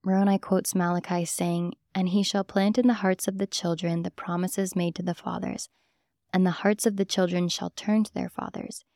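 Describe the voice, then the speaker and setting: Mellow low-voiced woman narrating. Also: little pitch movement, moderate pace, no echo, studio quality. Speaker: host and narrator. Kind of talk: scripted documentary podcast narration.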